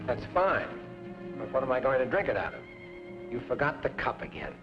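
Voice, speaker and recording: A man's voice: mid-range voice, sing-song, a little fast, close to an everyday voice. An actor playing a wry frontier newspaperman and father in an old-time radio western. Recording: dull old radio recording, music behind.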